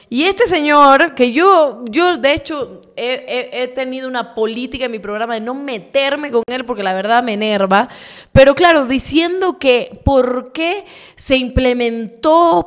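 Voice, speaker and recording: Ecuadorian woman host in her thirties, high voice, angry emphatic commentary over a desk mic. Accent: Ecuadorian Spanish. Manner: indignant, emphatic, swears. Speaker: show host. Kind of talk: heated political commentary. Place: home studio, indoors. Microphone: desk mic on stand, video call.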